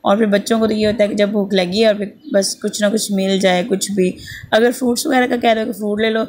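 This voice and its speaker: Pakistani mom in her thirties, hoarse and rambling homely voice-over, low-key and monotone. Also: a little fast. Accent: Pakistani Urdu. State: sore throat, slight fever. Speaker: vlogger, mother. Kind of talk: casual voice-over narration. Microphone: voice-over at close mic.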